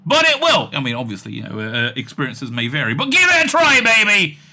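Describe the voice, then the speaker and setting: A man with a fairly high, very bright and forceful voice hosts his own online show. He talks to viewers in a rambling, opinionated way, animated and jokey. He often digresses and doubles back, repeating words and phrases as he goes. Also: a little fast. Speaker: YouTube show host; rabbi.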